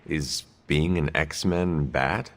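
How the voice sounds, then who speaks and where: Game lead: low, stoic, formal hero, supportive, with a long reverb on the voice. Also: male voice, exaggerated voice. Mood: calm, probing. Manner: formal, measured, few contractions. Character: stoic male demon-tempted hero, reserved and supportive. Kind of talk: video game dialogue acting.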